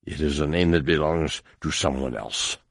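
A man voicing a cold, cruel one-eyed male warrior who speaks in a low, hissing, threatening way. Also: animated, moderate pace, dry recording, clean studio sound. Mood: menacing.

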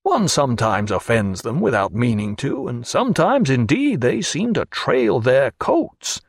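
A man voicing a genial, self-assured wealthy gentleman in an audiobook, urbane, expansive and courteous. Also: medium pitch, very animated, unhurried, dry recording, clean studio sound. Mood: urbane, condescending. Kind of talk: audiobook character voice.

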